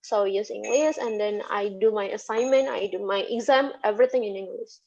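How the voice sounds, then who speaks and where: A woman's voice: medium pitch, fairly even intonation, fairly quick, vocal fry, a little mellow. A woman from Indonesia, a native Sundanese speaker with an Indonesian accent, gives casual interview answers as a lecturer, hesitantly and with repeated words. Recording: dull, lo-fi audio.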